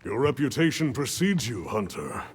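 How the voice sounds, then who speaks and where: An actor playing a grave, authoritative senior guild commander with a formal, measured delivery in a video game. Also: male voice, mid-range voice, big pitch swings, noisy background, lightly acted. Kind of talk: video game cutscene acting.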